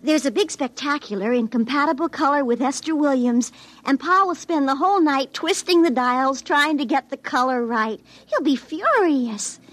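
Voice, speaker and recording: A young small-town sweetheart in an old radio play. Her voice is notably high, with a prim, sweet manner that easily turns fretful. The sound is that of a vintage 1950s broadcast. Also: expressive, moderate pace, a bit bright. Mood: pleased, coy. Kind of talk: 1950s radio drama acting.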